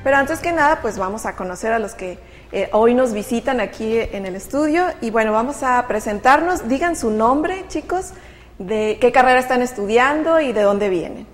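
A woman hosts a Bible study program, guiding guests in a friendly way with plenty of fillers. Her voice sits a little high. It carries a long reverb from the room. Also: expressive, moderate pace, soft background music. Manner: friendly, leads discussion with fillers. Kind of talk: casual religious study chat.

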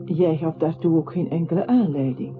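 A thin, narrow-band radio recording carries a man playing an observant chronicler. His fairly high voice is deliberate and matter-of-fact, articulating each thought over audible music. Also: lightly acted. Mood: explanatory. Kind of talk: literary radio play narration in second person. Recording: tinny band-limited radio drama with music.

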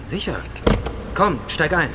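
A man's voice: a little high, expressive, slow. A man acting a concerned, helpful brother of a fugitive in a radio drama. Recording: noticeable ambient noise behind the voice.

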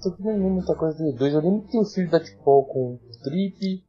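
A man's voice: on the high side, a little fast, warm tone, highs cut off, soft background music. A man with a Brazilian accent chatting casually as a panelist on a fan podcast.

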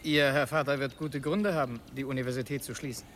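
An actor playing a governor's polite young aide in a radio drama, formal, measured and quietly challenging. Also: male voice, medium pitch, steady tone, a little hiss or hum, lightly acted. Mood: soothing, reproving. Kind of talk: German radio drama acting.

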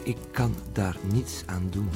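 A man's voice: lowish voice, slow, music in the background. An actor playing a hesitant male government leader in a radio drama, formal and apologetic. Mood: evasive, resigned.

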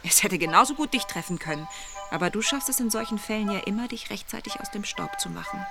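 A woman's voice: mid-range voice, fast talker, creaky, music in the background, lightly acted. A woman acting a snippy, indignant young lady. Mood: complaining. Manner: snippy, complaining. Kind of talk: German radio play acting.

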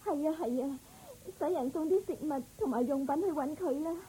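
A woman's voice: sits high, fairly quick, dark tone, lightly acted, poor audio. An actress playing an anxious, caring queen in a radio drama. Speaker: voice actor.

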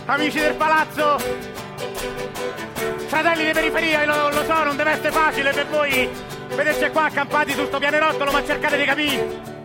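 A man's voice: very high voice, little pitch movement, moderate pace, over loud music, pitched above the performer's own voice. An actor playing a wronged Roman man making an earnest, declamatory plea to his neighbours in a theatre piece. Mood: pleading, rallying. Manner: declamatory, loud oratory.

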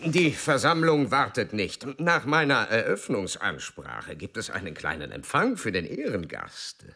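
An actor in a German radio play, playing an elderly, diplomatic court chamberlain with a measured, placating manner and a creaky voice. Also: male voice, fairly low pitch, huge pitch swings, sharp tone, heavily acted. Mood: matter-of-fact.

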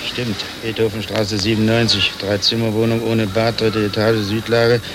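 A man's voice: lowish voice, little pitch movement, normal speed, background noise, natural voice. A man playing a nosy, officious passport officer in a satirical radio comedy, matter-of-fact and bureaucratic.

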